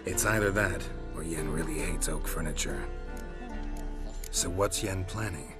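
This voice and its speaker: An actor playing a stoic, gruff male monster hunter in a fantasy game, with a low gravelly voice and a dry, laconic manner. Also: measured pace, loud music behind the voice. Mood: dry, curious. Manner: laconic, deadpan. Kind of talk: video game acting.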